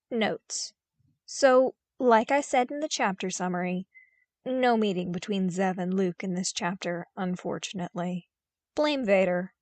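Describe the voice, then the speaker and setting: A woman reading fiction aloud in an expressive, wry, conversational and emphatic storytelling style. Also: medium pitch, no echo. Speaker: podfic narrator.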